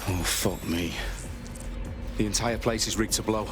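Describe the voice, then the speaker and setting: An actor playing a wry, sweary British secret agent in a video game. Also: male voice, lowish voice, measured pace, over music, lightly acted. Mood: tense. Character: gruff, sardonic male secret agent turned resistance operative.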